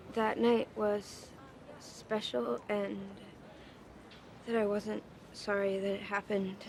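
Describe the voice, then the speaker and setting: An actress with an American accent playing an earnest, defensive nineteen-year-old daughter in a television drama. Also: female voice, sits high, slight background noise, close to an everyday voice. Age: young adult.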